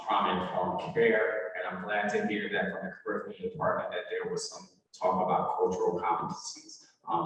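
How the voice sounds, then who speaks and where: A man's voice: lowish voice, slight background noise. A man giving a formal, explanatory presentation as a school district administrator at a meeting. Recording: very echoey, reverberant hall, distant mic.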